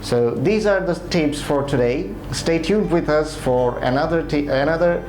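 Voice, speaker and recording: A man's voice: on the high side, normal speed, a little hiss or hum. Echoey, hesitant male tutor. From Bangladesh. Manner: hesitant, many "uh" fillers and restarts. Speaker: IELTS tutor. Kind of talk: spoken exam tips lesson. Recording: roomy echo, soft music behind.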